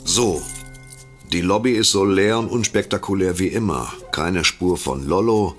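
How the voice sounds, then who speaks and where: A man's voice: sits low, measured pace. An actor playing a furtive snoop in an audio drama, muttering to himself as he sneaks about. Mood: furtive, smug. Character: furtive male snoop narrating his own break-in. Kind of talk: German audio drama acting. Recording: loud music behind the voice.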